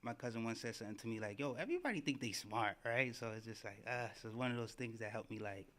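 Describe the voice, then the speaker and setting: A man whose first language is Yoruba, speaking softly and hesitantly on stage before singing. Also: mid-range voice, animated, fast talker. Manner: soft-spoken, hesitant between songs. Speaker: singer performer. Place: small performance venue, indoors.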